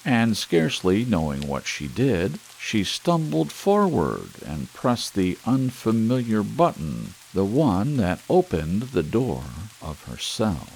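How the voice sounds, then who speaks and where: Very deep-voiced man reading a slow audiobook. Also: rough recording. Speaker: audiobook narrator. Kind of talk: scripted audiobook narration for sleep, ASMR. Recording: clear steady rain hiss behind voice. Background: rain sounds.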